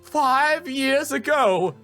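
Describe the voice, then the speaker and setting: A man's voice: very high-pitched, expressive, very slow, pushed and bright, faint music behind, pitched above the performer's own voice, heavily acted. A man voicing an earnest, insistent young defense lawyer in a video game, with an emphatic delivery. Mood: accusing, emphatic. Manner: emphatic, declaratory.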